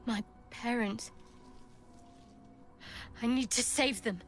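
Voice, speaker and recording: An actress playing a young, devout and guarded female cleric in a video game, with a measured, hesitant delivery. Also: fairly high pitch, soft background music. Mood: shocked. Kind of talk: video game dramatic acting.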